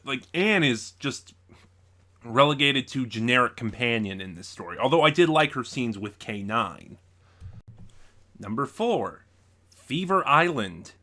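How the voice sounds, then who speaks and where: A man's voice: medium pitch, expressive, measured pace, long pauses, bright, forceful tone. A man hosting a casual review video, speaking in a conversational, opinionated way straight to the audience. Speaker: video reviewer and channel host.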